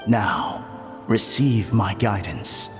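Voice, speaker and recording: A reverberant, solemn spiritual guru. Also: male voice, fairly low pitch, extremely slow, music in the background. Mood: solemn, hypnotic. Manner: solemn, portentous. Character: solemn male spiritual guru, commanding and mystical. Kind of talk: video game voice acting. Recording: long echoing reverb effect.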